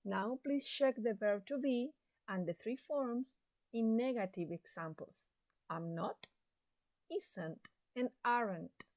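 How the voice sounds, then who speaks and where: A woman teaches an English lesson, pacing every word slowly and deliberately. Her voice sits fairly high and comes across encouraging, as if addressing her class. The recording is close and dry, with no room sound. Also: darkish tone, dry recording. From Chile.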